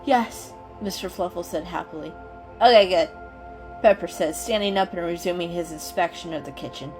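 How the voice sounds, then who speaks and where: A woman narrating a children's story in an expressive, dramatic reading style. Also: on the low side, fast. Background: soft music.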